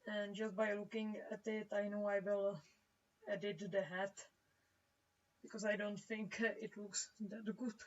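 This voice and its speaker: Woman, young adult, Czech accent, unhurried halting delivery, casual stream chat, dull distant microphone. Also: medium pitch, little pitch movement. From Czech Republic. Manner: hesitant, halting, searching for words. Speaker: livestreaming comic artist. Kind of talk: casual livestream chat while drawing.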